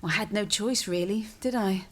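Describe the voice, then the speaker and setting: An actress playing a good-humoured, self-reliant young pub cook in a naturalistic radio soap. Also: female voice, medium pitch, normal speed, fairly bright tone, lightly acted. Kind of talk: naturalistic radio drama acting.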